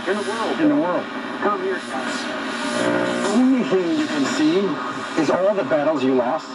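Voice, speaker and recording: A man's voice: a little high, expressive, moderate pace. A man in a radio broadcast, picked up on a shortwave receiver. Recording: loud static-filled shortwave radio pickup.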